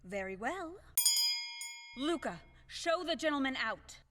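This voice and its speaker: An actress playing a proud, fiery widow who is easily offended, haughty and melodramatic, in an audio play of a classic farce. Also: female voice, high-pitched, sing-song, slow, long reverb tail, full character voice. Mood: indignant, haughty.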